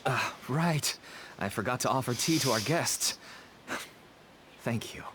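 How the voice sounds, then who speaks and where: A man's voice: mid-range voice, lively intonation, unhurried, background noise, natural voice. An actor playing a nervous, ingratiating merchant father with a shady trade in a video game. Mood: warm, fatherly. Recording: game music behind the voice.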